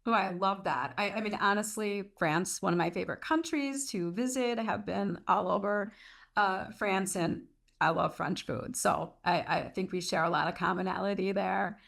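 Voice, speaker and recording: A woman's voice: mid-range voice, normal speed, creaky, fairly bright tone. A woman hosting a business livestream in a conversational interview style.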